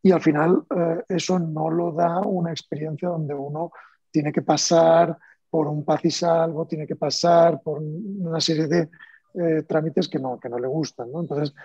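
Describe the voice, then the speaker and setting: A man's voice: on the high side, steady tone, unhurried, darkish tone, husky, no echo, highs cut off. A man from Spain with a Spanish accent, speaking as a panelist in a panel discussion in a conversational way, with fillers and restarts.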